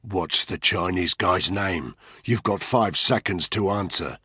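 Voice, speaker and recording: A male narrator voices a hard military operative from a thriller audiobook. He is curt and menacing, with a low, husky voice recorded dry and close. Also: a little slow, sharp tone, dry recording, exaggerated voice. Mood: cold, menacing.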